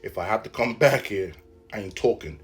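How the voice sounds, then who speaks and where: A man's voice: on the low side, a little fast, faint music behind, voice pushed down, lightly acted. A man doing his stern, menacing father. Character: narrator's stern, quiet adult father.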